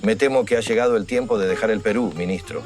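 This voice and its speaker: An actor playing a weary, ailing yet resolute general and liberator in a historical audio drama, speaking in a solemn, formal way. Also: male voice, on the low side, fast talker, darkish tone, soft background music, lightly acted. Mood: weary, confidential.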